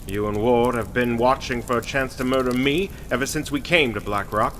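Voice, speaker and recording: A forceful, hard-edged crime boss in a vintage radio play, acted by a man. He is domineering and scornful, with a medium-pitched voice over faint noise. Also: normal speed, close to an everyday voice. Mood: cold, gloating. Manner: domineering, sneering, melodramatic. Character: Burkett, ruthless, scornful male criminal boss. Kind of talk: Golden Age radio drama acting.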